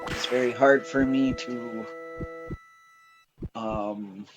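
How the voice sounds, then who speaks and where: A man's voice: medium pitch, fairly even intonation, very slow, low-quality recording. A man with a nasal, stuffy voice from allergies, chatting casually and a bit apologetically as a let's play host at home. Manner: rambling, apologetic, chatty with fillers. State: chest bothered from bonfire smoke. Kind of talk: casual chat while gaming. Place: home basement. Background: game music.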